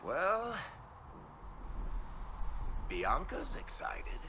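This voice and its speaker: A man's voice: mid-range voice, huge pitch swings, extremely slow, creaky, noisy background, exaggerated voice. An actor playing a wry, roguish crossbowman in a fantasy video game. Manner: wry, sardonic. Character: roguish, wisecracking male crossbowman, fond of his weapon.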